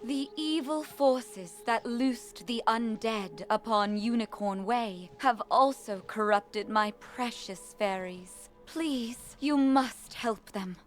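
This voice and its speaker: Slow, solemn seraph guardian. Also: female voice, fairly high pitch, faint music behind. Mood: pleading. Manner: formal, measured. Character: wise, gentle, solemn female seraph guardian in a fantasy game. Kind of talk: video game character acting.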